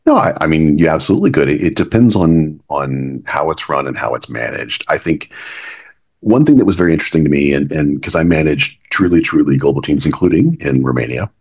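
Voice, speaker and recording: Very low husky man, middle-aged business leader, earnest, stumbling restarts, dull lo-fi sound. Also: animated, brisk, a little mellow. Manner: earnest, repeats and restarts words often. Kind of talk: reflective podcast conversation.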